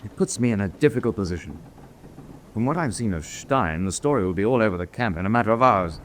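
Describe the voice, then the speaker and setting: An actor playing a principled German senior U-boat officer in a radio drama, deliberate and authoritative. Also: male voice, on the low side, expressive, slight background noise, natural voice. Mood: grave, weighing.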